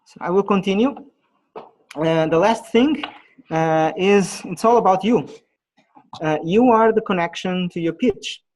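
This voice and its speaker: A man presenting an online webinar on pitching, pausing often with fillers and restarts, heard over a video call. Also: darkish tone, rough recording. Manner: halting, many fillers and restarts.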